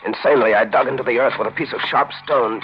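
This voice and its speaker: A man's voice: mid-range voice, quick pace, breathy edge. An actor playing a bewildered, desperate man telling his own nightmare with intense 1940s radio mystery drama. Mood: haunted, grim. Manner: intense, dramatic first-person delivery. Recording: dull vintage 1940s radio recording, music behind.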